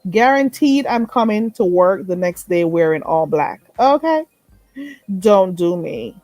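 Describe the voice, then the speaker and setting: A woman's voice: on the low side, expressive, a little slow, a little mellow, no room sound. A woman hosting a late night live chat with viewers. She talks in a chatty, emphatic way, repeats herself, and sounds stuffy and a little nasal. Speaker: live stream host.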